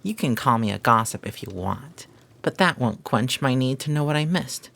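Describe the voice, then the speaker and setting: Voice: mid-range voice, animated, moderate pace, vocal fry, a bit bright. A performer playing a flirty, devoted maid who teases and gossips in formal, flowery phrasing, in a scripted romantic audio roleplay. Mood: eager, gossipy.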